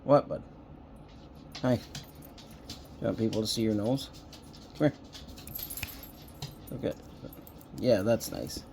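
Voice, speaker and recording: A middle-aged man talking casually and unscripted in a home vlog, halting and rambling as he thinks aloud. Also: on the low side, very animated, creaky, darkish tone, slight background noise. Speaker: hobbyist electronics recycler and video host. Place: indoors at a home coffee table.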